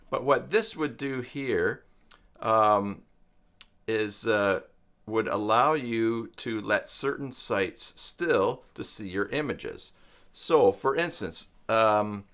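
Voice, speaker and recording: A man's voice: on the low side, fairly bright tone. A man hosting an unscripted live tutorial, explaining technical steps as he thinks aloud and rephrases himself. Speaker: live stream host, web tutorial teacher.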